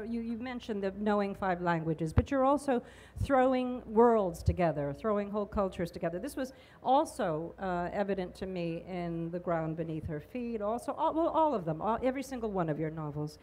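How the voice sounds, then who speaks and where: A woman's voice: mid-range voice, lively intonation, normal speed, darkish tone. A middle-aged woman moderating an onstage interview, asking long enthusiastic questions and stumbling over her words with "uh" and restarts, into a handheld mic. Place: large outdoor festival stage pavilion.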